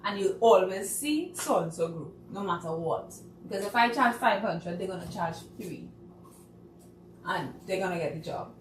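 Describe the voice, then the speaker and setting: A woman's voice: medium pitch, moderate pace, recorded from a distance, a little hiss or hum. Trinidadian woman, off-mic in an echoey room. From Trinidad and Tobago. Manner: animated, laughing, mimics people. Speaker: guest. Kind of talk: casual talk show chat.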